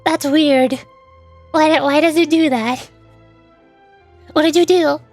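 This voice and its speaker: Female game streamer, very high voice, casual rambling delivery, close dry mic with music underneath. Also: steady tone, slow, darkish tone, dry recording. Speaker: streamer and VTuber. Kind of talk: casual livestream chat, reacting and rambling about games.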